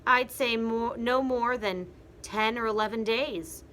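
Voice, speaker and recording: Woman reads a cruel old prankster husband, gloating, bright and pushed. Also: on the high side, a little slow. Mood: taunting. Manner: taunting, gloating. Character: nasty old man, gleeful cruel prankster.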